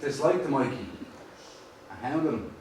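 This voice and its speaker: A man's voice: medium pitch, expressive, measured pace, a little mellow, slight background noise, natural voice. An actor playing a blunt, plain-spoken middle-aged Irish fisherman with an Irish accent. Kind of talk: audio drama acting. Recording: very echoey, distant from mic.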